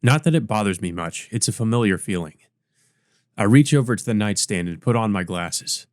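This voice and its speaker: A man's voice: fairly low pitch, lively intonation, fairly quick, no room sound, clean studio sound. A man hosting a let's play, reading a visual novel aloud with casual asides. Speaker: let's play host and reader.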